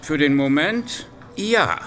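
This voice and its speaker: An actor playing a suspicious, determined police investigator, measured and insistent, in a horror radio play. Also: male voice, on the high side, lively intonation, a bit bright, a little hiss or hum. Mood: businesslike, suspicious. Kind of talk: German horror audio drama acting.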